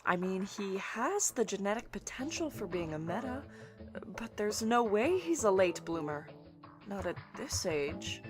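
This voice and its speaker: A woman voicing an excitable young scientist in a fan audio drama, animated and prone to giddy laughter. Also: mid-range voice. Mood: puzzled. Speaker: scientist character.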